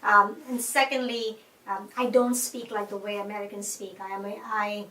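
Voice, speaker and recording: A woman's voice: medium pitch, little pitch movement, moderate pace, pushed and bright. Indian-accented woman in an echoey room. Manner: reflective, earnest, many fillers and restarts. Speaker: interviewee. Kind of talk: conversational interview. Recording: echoey room, mic at a distance.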